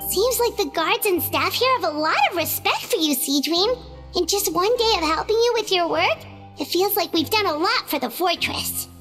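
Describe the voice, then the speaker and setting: A woman voicing a tiny, chatty, skeptical childlike companion sprite in a video game, expressive and exclamatory. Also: extremely high pitch, normal speed. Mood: curious, chatty. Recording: music behind the voice.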